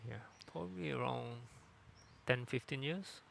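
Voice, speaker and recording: A man from Singapore doing live sports commentary in a steady, even tone, with frequent pauses and fillers. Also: mid-range voice, creaky, slight background noise.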